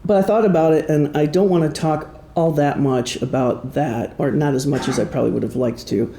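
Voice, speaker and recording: Man, slightly high gravelly voice, halting, self-deprecating, informal presentation, live room sound. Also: dark tone, echoey room. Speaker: author and musician giving a presentation. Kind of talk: informal public talk about own creative project. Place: indoor room before an audience.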